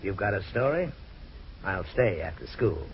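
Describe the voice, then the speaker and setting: An actor playing a tough, sarcastic American police detective who needles and disbelieves, in an old-time radio crime drama. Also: male voice, deep voice, sing-song, moderate pace. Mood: sarcastic, skeptical. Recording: dull, noisy vintage radio recording.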